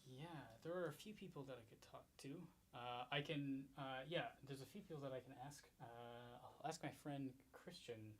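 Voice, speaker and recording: A man of ordinary pitch talks through music with his duo partner in a loose, casual way. He hedges and restarts often. A faint hum of room ambience sits behind him. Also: moderate pace, hardly any pauses. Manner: hesitant, many ums and restarts. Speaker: classical guitarist. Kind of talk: casual rehearsal chat.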